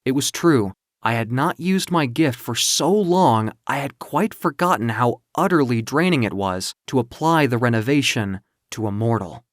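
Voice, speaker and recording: A narrator telling a dramatic story in a measured, literary, theatrical way, as in an audio comic book. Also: mid-range voice, animated, no room sound, studio quality.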